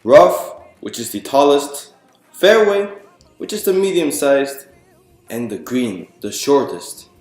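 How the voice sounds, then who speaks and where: A man reading a picture book aloud to an audience in a clear explanatory, presentational way, putting questions to the listeners. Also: medium pitch, lively intonation, slow, a little mellow, echoey room, soft background music. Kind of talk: storytime read-aloud to an audience.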